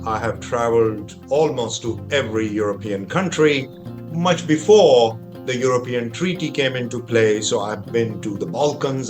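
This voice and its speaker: A middle-aged man hosting a travel podcast, talking in a measured, explanatory, conversational way. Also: medium pitch. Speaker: podcast host.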